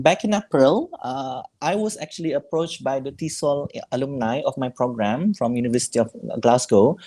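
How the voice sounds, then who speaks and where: A man's voice: medium pitch, darkish tone. A man, a former scholarship student and teacher, answers interview questions in a casual, hesitant way, with many fillers and restarts. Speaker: guest teacher, scholarship alumnus.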